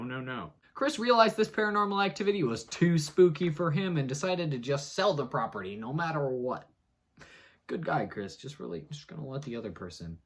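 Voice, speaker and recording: A man giving a theatrical, mock-dramatic reading of an article, breaking off for muttered sarcastic asides. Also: a bit bright. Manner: theatrical, swings between booming and muttered.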